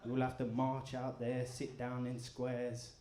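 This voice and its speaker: A man in his thirties performs a stand-up set, delivering crude punchlines in a deadpan way and needling the crowd. His voice rings around a roomy, echoey club, with loud laughter rolling underneath. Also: medium pitch, a little slow, very noisy. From England. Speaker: stand-up comedian. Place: comedy club in front of a live audience. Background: audience laughter.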